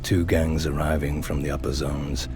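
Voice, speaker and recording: An actor playing a wry, scheming underworld narrator in a video game, telling the story in a sardonic drawl with a gravelly voice. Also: male voice, flat delivery, normal speed. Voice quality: very deep, gravelly. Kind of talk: scripted dramatic narration. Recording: loud music under the voice. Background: loud game score.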